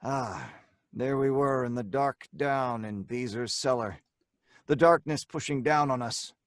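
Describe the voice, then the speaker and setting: Man playing a hard-boiled male crook, slangy, mocking, mid-pitched, dry close sound, radio crime drama. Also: unhurried, no echo, lightly acted. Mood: tense storytelling. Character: brash, mocking male crook narrating his own tale. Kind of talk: old-time radio drama acting, first-person crime narration.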